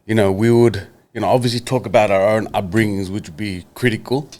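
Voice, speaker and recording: An Australian man in his thirties, co-hosting a podcast, earnest and halting as he steers the chat with questions. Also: on the low side, fairly even intonation, a little mellow. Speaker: podcast co-host.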